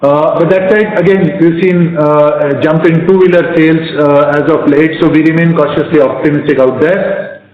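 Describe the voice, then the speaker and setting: Indian exec guy on earnings call, kinda high voice, echoey muffled line, measured and halting. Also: fairly even intonation. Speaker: company executive answering analyst questions. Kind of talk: earnings call answers, spontaneous business talk.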